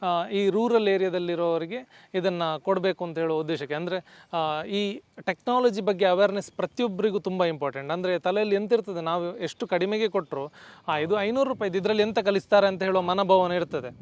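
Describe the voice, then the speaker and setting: A man's voice: high voice, fairly quick, a little mellow, dry recording. A man with a coastal Karnataka accent answering as a company executive guest, explaining a product and mixing in English terms. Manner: code-mixes English terms. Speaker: company CEO as interview guest.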